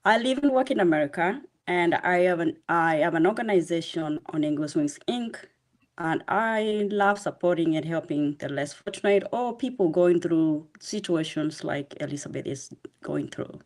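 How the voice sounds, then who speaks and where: A woman's voice: lowish voice, animated, moderate pace. A woman with a Kenyan accent, a nonprofit founder, introducing herself as a guest on an interview podcast. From Eastern Kenya.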